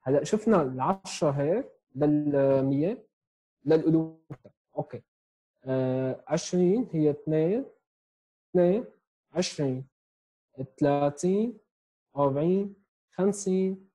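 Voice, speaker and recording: A man's voice: on the high side, warm tone, low-quality recording. A man in his twenties with a Syrian Arabic accent gives an online sign language lesson, explaining step by step and often checking in with "okay?". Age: 20s. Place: small room at home, indoors. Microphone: earphone headset mic on a video call.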